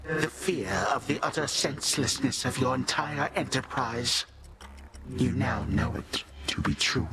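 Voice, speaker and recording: A man's voice: medium pitch, unhurried, noisy background, close to an everyday voice. An actor playing an ancient, suave Titan grandfather in a video game, courtly, condescending and menacing in his delivery. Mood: mocking courtesy. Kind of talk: theatrical video game villain acting.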